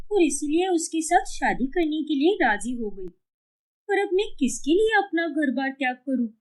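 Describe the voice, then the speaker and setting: A woman voicing a stubborn, lovestruck young woman with a whiny, dramatic manner in a cartoon story. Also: sits high. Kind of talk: cartoon moral story dialogue.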